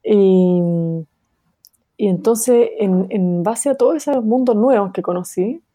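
A woman with a warm, mellow tone answers a host's questions. She thinks aloud as she goes and fills her pauses. Her pitch sits mid-range for a woman. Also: slightly rough. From Chile. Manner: thoughtful, many fillers and restarts, light laughs. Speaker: guest, sociologist. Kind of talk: interview answers.

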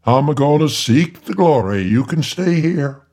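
A man's voice: on the high side, expressive, measured pace, a little mellow, pitched above the performer's own voice. A man voicing a greedy, gullible old preacher who hollers and pleads fervently. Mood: fervent pleading.

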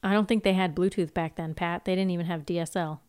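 A woman's voice: fairly low pitch, steady tone, fast, a little mellow, clear, resonant tone. A woman co-hosting a podcast, informally recapping TV episodes from notes in a casual, giggly way. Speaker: podcast co-host.

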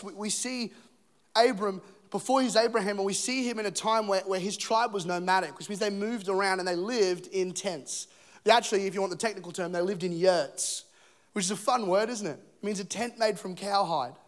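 Young Aussie-accented male preacher, high voice, playful and self-mocking, a little rough in the throat. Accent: Australian. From England. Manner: jokey, self-deprecating, acts out voices. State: voice a bit rough. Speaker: pastor. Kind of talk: humorous sermon. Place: church auditorium.

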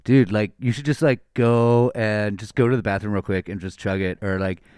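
A man's voice: fairly low pitch, fairly even intonation, normal speed, a little mellow, clear, resonant tone, no room sound, clean studio sound. A man in a casual podcast chat, crude and joking, swearing freely. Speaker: podcast co-host.

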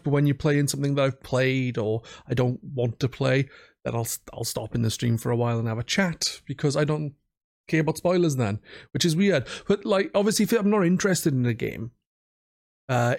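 A man's voice: mid-range voice, darkish tone. A man hosting a podcast, chatting informally and modestly about video games. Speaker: podcast host.

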